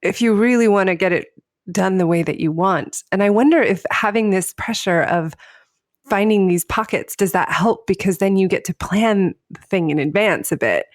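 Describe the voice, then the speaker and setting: A woman's voice: mid-range voice, normal speed, no echo, clean studio sound. A woman hosting a podcast, asking thoughtful, musing questions in a conversational interview. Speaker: podcast host.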